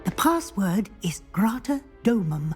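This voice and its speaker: An actress playing a middle-aged British deputy headmistress in a fantasy game, courteous, formal, brisk and kindly. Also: female voice, mid-range voice, sing-song, soft background music. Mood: welcoming.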